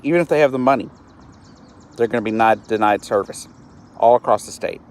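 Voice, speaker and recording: A man's voice: lowish voice, a little fast, darkish tone. A man, hosting a solo live stream and talking earnestly and with frustration, often stopping to restart his words. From East Texas, US. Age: 30s. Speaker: host of a live-stream show.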